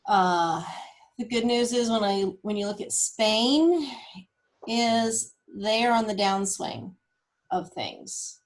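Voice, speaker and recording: A woman giving an informal walkthrough of data, thinking aloud as she goes in a halting way. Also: on the high side. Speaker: guest presenter explaining data; cancer research nurse.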